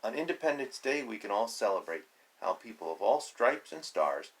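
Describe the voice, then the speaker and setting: A man's voice: fairly low pitch, sing-song, moderate pace, rough recording. A man reading a children's picture book aloud, announcing letters emphatically and adding simple, teacherly explanations as he goes. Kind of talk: read-aloud with explanatory asides.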